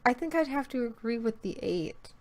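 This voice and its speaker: A woman's voice: fairly high pitch, animated, moderate pace. A woman guest in a casual chat about horror stories, playful and chatty, joking and swearing now and then. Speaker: guest co-host.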